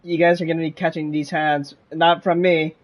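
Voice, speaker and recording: A man's voice: on the high side, level tone, moderate pace, highs cut off. A man giving casual commentary on a game playthrough, informal, with wry asides. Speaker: gaming video host.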